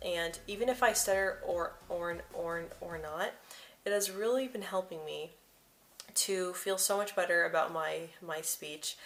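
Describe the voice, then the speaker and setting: A woman's voice: lowish voice, unhurried, fairly bright tone, slight background noise. A young woman vlogger who stutters, often repeating words and first sounds as she talks to camera and shares personal tips.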